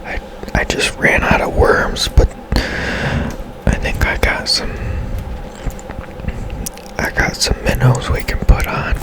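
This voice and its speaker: A man speaking in a breathy, unvoiced whisper, giving casual, unhurried ASMR commentary as he plays a game. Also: poor audio. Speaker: gaming ASMR host.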